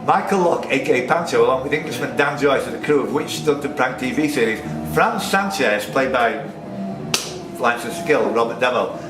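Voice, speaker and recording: Animated male quizmaster in an echoey room. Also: medium pitch, normal speed, distant mic. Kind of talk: reading quiz questions with casual banter. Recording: echoey room, off-mic, music behind.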